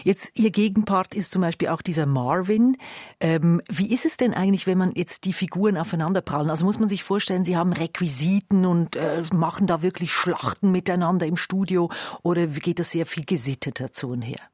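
A woman's voice: fairly low pitch, a little fast, darkish tone, dry recording, clean studio sound. A woman asking conversational questions as a radio interviewer.

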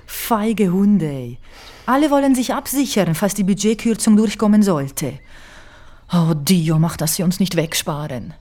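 A woman's voice: mid-range voice, lively intonation, unhurried, darkish tone, close to an everyday voice. An actress playing an outspoken, loyal woman musician in a radio drama, with blunt, colloquial delivery. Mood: scornful, anxious. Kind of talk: naturalistic radio drama acting.

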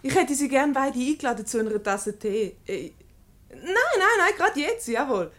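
A quick-witted, unruffled Swiss German wife in a radio comedy. Her voice is on the high side and swings freely up and down, full of teasing irony. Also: sing-song, fairly bright tone. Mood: bright, sociable. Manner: teasing, ironic.

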